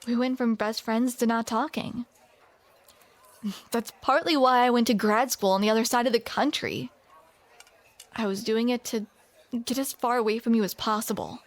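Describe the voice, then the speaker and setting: A woman's voice: fairly high pitch, fairly even intonation, moderate pace, pauses often. A woman performing a scripted romantic audio roleplay, with playful, teasing banter, close to the microphone.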